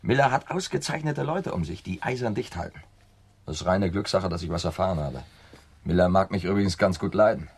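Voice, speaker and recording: An actor playing a calm, measured undercover police agent, earnest and matter-of-fact, in a 1970s German radio crime drama. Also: male voice, low voice, breathy edge, full character voice. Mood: sober.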